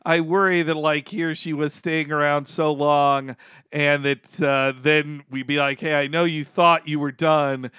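A man co-hosting a podcast, chatting casually and enthusiastically, quick to laugh. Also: fairly high pitch, flat delivery, sharp tone, clear, resonant tone, no room sound, clean studio sound. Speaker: podcast co-host. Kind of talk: casual chat recounting a fan outing.

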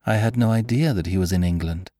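A man's voice: fairly low pitch, fast, dark tone, no room sound, clean studio sound, voice pushed up, lightly acted. A man reading an audiobook, voicing a troubled young woman who hesitates and grows frightened as she speaks. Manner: hesitant, trembling, rising to alarm. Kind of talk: audiobook character voice.

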